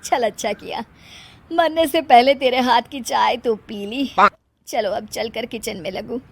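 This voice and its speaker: A woman's voice: fairly high pitch, unhurried, vocal fry, husky, full character voice. A woman voicing a weary, wry, grumbling older mother-in-law in a moral story. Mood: wry, surprised.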